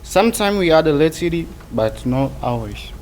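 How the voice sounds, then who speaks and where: A teenage young man from Angola, a native Portuguese speaker with an Angolan Portuguese accent, giving a prepared personal speech in careful, halting English. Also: a little high, big pitch swings, a little mellow, a little hiss or hum. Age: teens. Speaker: student award presenter.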